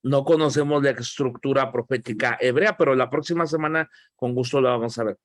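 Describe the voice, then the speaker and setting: A man's voice: mid-range voice, steady tone, brisk, no echo, studio quality. A middle-aged man with a Mexican accent, a pastor teaching an online Bible class in a joking, emphatic, friendly scolding way.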